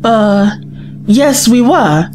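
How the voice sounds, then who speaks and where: A woman plays a devoted, worried young sidekick in a sci-fi audio drama. Her highish voice moves slowly and hesitantly, with lively swings in pitch. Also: a little hiss or hum. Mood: tentative, relieved. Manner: hesitant, earnest. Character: anxious, devoted young female companion of the Doctor.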